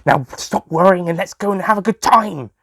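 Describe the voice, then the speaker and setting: A man's voice: sits high, normal speed, fairly bright tone, no echo, clean studio sound, pitched above the performer's own voice. A man voicing an old Time Lord, a fussy, reassuring grandfather with old-fashioned, avuncular turns of phrase, in an audio story. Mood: dismissive.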